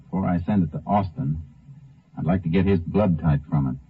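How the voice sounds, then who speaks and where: Deep, terse Texas Ranger. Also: male voice, brisk, slightly rough. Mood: steady, grim. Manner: terse, understated, businesslike. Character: calm, dogged male Texas Ranger investigating a killing. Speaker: lead actor and first-person narrator. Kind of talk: 1950s radio drama acting. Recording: muffled old 1950 radio recording.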